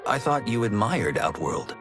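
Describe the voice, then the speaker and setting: Young male fighter, earnest, low voice, expressive pitch, humble and determined, game cinematic. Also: moderate pace. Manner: sincere, formal. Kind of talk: video game cinematic acting. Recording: loud music behind the voice.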